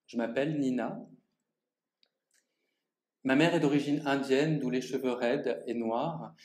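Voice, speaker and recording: A man reading his own first-person monologue aloud at a public reading in an art gallery, speaking to the audience in a measured, theatrical way. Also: medium pitch, darkish tone. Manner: measured, theatrical delivery addressing the audience directly. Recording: echoey gallery room.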